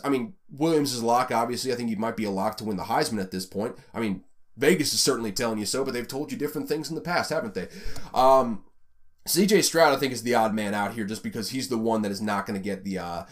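Rambling Southern American sports host. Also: male voice, mid-range voice. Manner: rambling, filler-heavy, conversational. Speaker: college football podcast host. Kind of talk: casual sports commentary.

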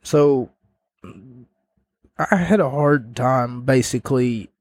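A man's voice: mid-range voice, slow, darkish tone, dry recording, clean studio sound. A man giving a casual spoken review and recap as host, rambling with jokey asides, his voice starting to wear out. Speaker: wrestling show reviewer, host.

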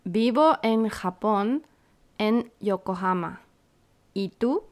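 A woman from Santiago, a teacher co-hosting a slow, scripted beginner lesson with clear, deliberate phrasing. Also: mid-range voice, animated, darkish tone. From Santiago, Chile. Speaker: podcast co-host, Spanish teacher.

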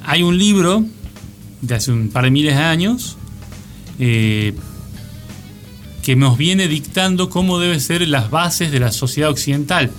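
Higher-voiced Argentine man chatting on radio. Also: animated, measured pace, long pauses. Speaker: radio co-host. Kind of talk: casual, joking panel chat. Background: background music.

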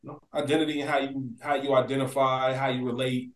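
A man's voice: mid-range voice, flat delivery, quick pace. A man with a mid-range voice speaks in a very echoey room. He co-hosts a podcast, thinking aloud and explaining casually in a conversational flow. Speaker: podcast co-host. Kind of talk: casual discussion.

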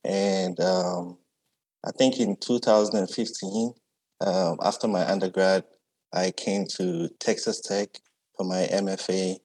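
Deep-voiced man. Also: steady tone, unhurried, a little mellow, rough recording. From Ghana. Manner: easygoing, reflective, often adds "yeah". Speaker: artist guest. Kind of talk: casual conversation.

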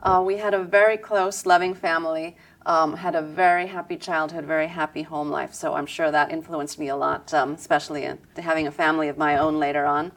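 A woman answering interview questions in a measured, reflective way, with soft "um" fillers. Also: deep voice, fairly even intonation, slight background noise. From United States. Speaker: interview guest.

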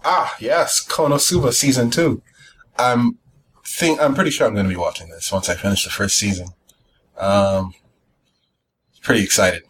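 A man's voice: mid-range voice, a little hiss or hum. A man chatting casually as a podcast host, musing hesitantly as he goes. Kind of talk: casual chat.

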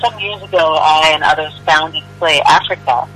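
A woman's voice: low-pitched, normal speed, a bit bright, a little hiss or hum. An American woman, a museum CEO, speaking earnestly and articulately as a guest on a phone line. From United States. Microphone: telephone.

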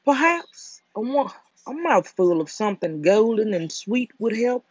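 A storyteller voicing a gentle, simple-minded male storybook bear, musing and earnest with a slight fret. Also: mid-range voice, big pitch swings, measured pace, breathy edge, dry recording, muffled recording.

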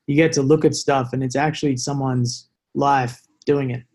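A man's voice: mid-range voice, little pitch movement, normal speed, a little mellow. An Australian man, a former soldier, telling stories as a podcast guest in a casual, rambling, reflective and joking way. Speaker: interview guest, former special forces soldier. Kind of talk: casual podcast conversation, storytelling.

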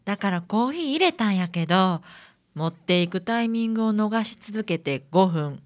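A woman's voice: medium pitch, normal speed, close to an everyday voice. A woman playing a friendly, casual young detective's assistant with a Kansai accent in a radio drama. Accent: Kansai Japanese. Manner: casual, familiar, animated. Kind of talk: community radio drama acting.

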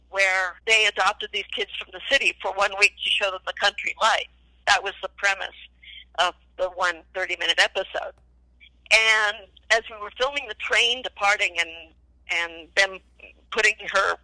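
A woman's voice: mid-range voice, sharp tone, no room sound, low-quality recording. An older woman telling stories as an interview guest in a chatty, enthusiastic, casual way. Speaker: interview guest, former child actress. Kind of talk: casual interview, animated storytelling.

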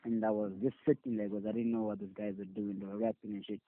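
A man's voice: lowish voice, very animated, fast talker, gravelly, no room sound. Laid-back young man from Zimbabwe. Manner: laid-back, mumbling, swears casually. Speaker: rapper and producer guest. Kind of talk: casual interview. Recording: tinny phone-like audio.

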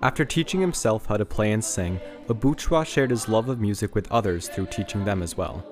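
A man with a fairly low voice reads scripted podcast narration in a measured, even way. Quiet guitar music sits underneath his voice. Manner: measured, even read delivery.

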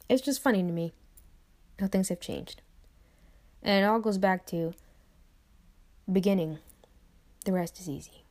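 A woman's voice: mid-range voice, darkish tone. A young adult woman speaking to camera in a reflective motivational monologue, repeating phrases and tossing in conversational asides. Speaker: vlogger.

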